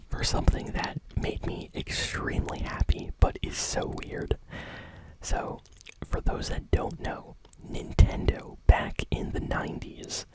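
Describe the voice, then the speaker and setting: Woman, full whisper, ASMR gaming news host, casual, enthusiastic, conversational, unscripted. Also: rough recording.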